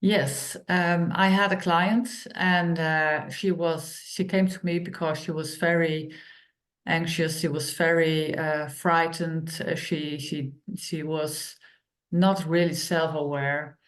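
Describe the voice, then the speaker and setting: A woman, co-hosting an online workshop and telling stories in plain, unhurried phrases with many pauses. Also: sits low, level tone. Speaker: workshop co-host and author.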